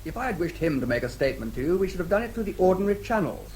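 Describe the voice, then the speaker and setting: An actor playing a British diplomat and protective brother in a radio drama, clipped, courteous and formal, with an English accent. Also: male voice, high voice, very animated, racing, a little mellow, slight background noise, rough recording. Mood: firm, concerned.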